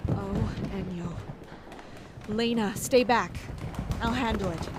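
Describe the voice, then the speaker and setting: A woman's voice: mid-range voice, slow pace, very noisy, close to an everyday voice. A woman playing a commanding, protective warrior who gives clipped orders in an audio drama. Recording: loud music behind the voice.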